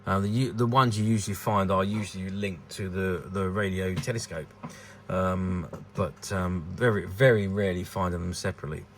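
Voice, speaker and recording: Deep, gravelly British man in his sixties. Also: steady tone, moderate pace, a little hiss or hum. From United Kingdom. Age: 60s. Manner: rambling, hesitant, repeats words. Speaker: vintage toy dealer presenting a collectable. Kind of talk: unscripted informal product commentary.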